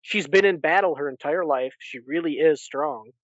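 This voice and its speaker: A man's voice: on the high side, moderate pace, dry recording. Enthusiastic, rambling man, dry slightly dull recording. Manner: rambling, enthusiastic retelling with acted-out asides. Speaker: podcast host. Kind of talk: casual book recap discussion.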